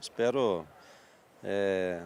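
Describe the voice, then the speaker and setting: A man in his sixties from southern Brazil with a Paraná accent, a company manager telling his life story in a measured, thoughtful way during an outdoor interview at a farm show. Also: low-pitched, a little mellow, a little hiss or hum. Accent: Paraná Brazilian Portuguese. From Santa Catarina, Brazil. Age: 60s. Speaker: guest, agricultural machinery dealer manager. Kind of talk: interview conversation.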